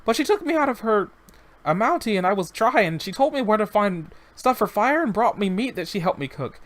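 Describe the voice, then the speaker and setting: A man's voice: high-pitched, very animated, a little fast, fairly bright tone, pitched above the performer's own voice. A man voicing an earnest young boy who talks eagerly and rambles a bit about whales and a new friend.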